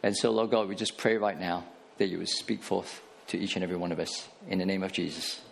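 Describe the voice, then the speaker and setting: A man's voice: low-pitched, fairly even intonation, fast, creaky, a little hiss or hum. A man in his forties, a pastor giving a casual sermon in church with many fillers and restarts. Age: 40s.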